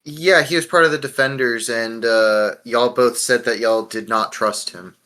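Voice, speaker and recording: A man's voice: mid-range voice, moderate pace, sharp tone. A man hosting a casual podcast discussion, talking bluntly with swearing and steering with questions. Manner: profane, blunt, leads with questions. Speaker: podcast host.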